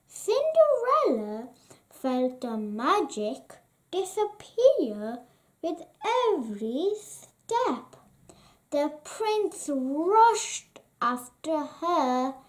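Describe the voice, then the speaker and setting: Very slow, sing-song woman reading a bedtime story. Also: high-pitched. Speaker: storybook reader. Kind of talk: bedtime story read-aloud.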